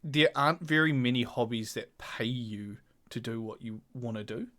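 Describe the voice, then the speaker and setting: Animated young guy, middle-pitched, casual. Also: normal speed. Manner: animated, swears, mock voices. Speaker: podcast co-host. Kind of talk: casual chat.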